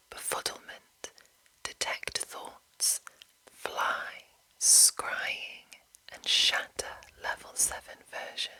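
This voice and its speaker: Very slow, bright whispering ASMR woman. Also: poor audio. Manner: hushed, deliberate, lingering over short phrases. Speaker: ASMR host. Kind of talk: whispered ASMR reading of a game manual with casual commentary.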